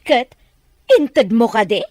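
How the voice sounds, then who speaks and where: A woman's voice: on the high side, animated, takes it slowly, darkish tone, no echo. An actress playing a spiteful, domineering older mother who schemes, in an Ilocano radio drama. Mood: impatient.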